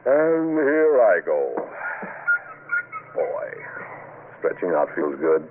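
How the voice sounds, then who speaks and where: A man's voice: low voice, sing-song, very slow, exaggerated voice. An actor playing a breezy, wisecracking husband and father with folksy banter in a vintage radio drama. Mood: amused, dismissive. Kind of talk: 1940s radio drama acting. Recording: muffled, noisy vintage radio recording.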